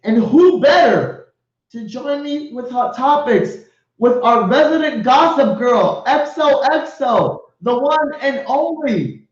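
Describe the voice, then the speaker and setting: A man's voice: very high voice, animated, takes it slowly. A man hosting a casual live show, effusive, swearing and laughing as he talks to guests. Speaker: host. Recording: echoey lo-fi stream audio.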